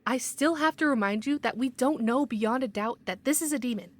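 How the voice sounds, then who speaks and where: An actress playing a strict, skeptical supervisor in an audio drama, firm and officious. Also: female voice, on the high side, brisk, close to an everyday voice.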